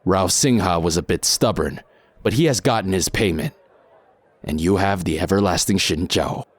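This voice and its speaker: A man acts out a masked mercenary from an audio drama with a deep voice and dry sound. He is cold and composed, delivering his menace in measured phrases. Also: no echo. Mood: cool menace.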